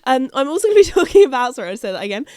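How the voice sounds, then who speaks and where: Chatty British woman podcast host, higher-pitched voice gone croaky from a chest infection, dry close sound. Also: big pitch swings, very fast, darkish tone, no room sound. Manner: chatty, rambling, self-interrupting. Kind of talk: casual podcast chat. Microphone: podcast microphone.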